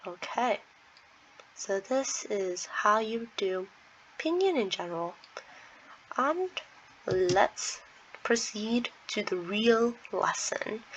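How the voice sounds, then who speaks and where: A woman walks beginners through a lesson at an unhurried, deliberate pace, patiently repeating each sound. Her voice sits a little high and has a bright, forward edge. The recording is slightly dull and lo-fi. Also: slight background noise. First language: English. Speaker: language teacher. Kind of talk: slow explanatory lesson with pronunciation drills.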